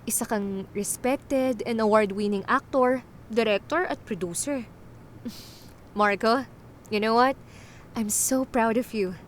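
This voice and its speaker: A woman acting in a Filipino radio drama as a contented former teen star turned doctor, conversational and gently teasing. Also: on the high side, slow pace, long pauses, slight background noise. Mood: fond, encouraging. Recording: faint music behind the voice.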